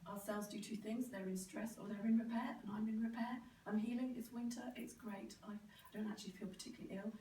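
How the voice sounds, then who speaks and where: British woman, middle-aged, croaky sore throat, higher-pitched voice, informal public talk, animated storyteller, noisy background. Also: fast. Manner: animated storyteller, mimics other people's voices. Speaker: speaker, neurodevelopment specialist. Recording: roomy, distant microphone, clear background noise.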